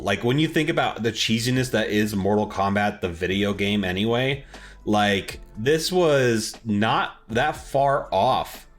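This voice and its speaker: A man co-hosting a podcast, talking in an informal, enthusiastic way about films and games. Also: lowish voice. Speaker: podcast co-host.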